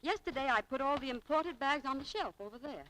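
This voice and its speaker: An actress playing a spirited, wheedling young shopgirl, quick to take offence, in 1940s radio style. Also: high-pitched, animated, a bit bright, a little hiss or hum, low-quality recording. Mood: eager, flattering. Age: young adult. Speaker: radio actress in a romantic comedy. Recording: vintage 1940s radio broadcast.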